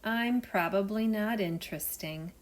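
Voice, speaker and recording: A woman's voice: mid-range voice, unhurried, close to an everyday voice. A woman voicing a modest little crab in a read-aloud storybook.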